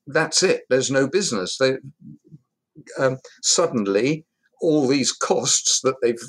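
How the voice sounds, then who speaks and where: Male guest, measured, thoughtful, pausing delivery. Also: mid-range voice. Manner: measured, thoughtful, pauses with fillers. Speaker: hospitality industry guest expert. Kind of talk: conversational interview.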